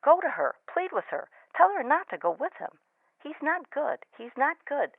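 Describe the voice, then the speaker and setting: A woman's voice: high voice, big pitch swings, moderate pace, gravelly, dry recording, exaggerated voice. A woman acting a distraught, pleading older mother in a radio drama, heard through a telephone filter. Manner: pleading, fretful. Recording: tinny phone line, music.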